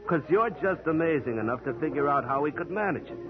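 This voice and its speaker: An actor playing a skeptical, informal American police lieutenant in an old-time radio detective drama. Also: male voice, on the high side, animated, fast talker, lightly acted. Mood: wry. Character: gruff but friendly skeptical male police lieutenant. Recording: dull old radio broadcast with music.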